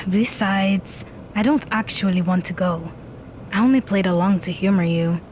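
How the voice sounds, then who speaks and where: A woman's voice: mid-range voice, measured pace, a little hiss or hum, natural voice. A woman playing an aloof, critical young woman in an audio drama, with a dry, dismissive manner.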